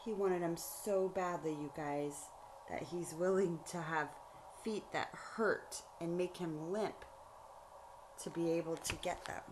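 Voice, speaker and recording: A woman reading a storybook aloud like a teacher, pausing to explain things directly to young listeners. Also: lowish voice, noisy background. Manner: explanatory, addresses children directly.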